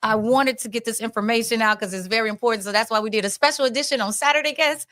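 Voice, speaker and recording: A woman's voice: fairly high pitch, quick pace, bright, forceful tone, no room sound, clean studio sound. American woman hosting, emphatic and informal. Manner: emphatic, informal, addresses audience as guys. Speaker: talk show host. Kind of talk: casual community talk show.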